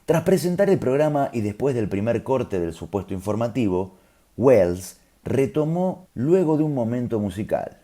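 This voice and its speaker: A man's voice: medium pitch, big pitch swings, moderate pace, dark tone. A man with a Rioplatense Argentine accent tells a scripted story in a conversational, explanatory way, with colloquial asides. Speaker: storyteller host.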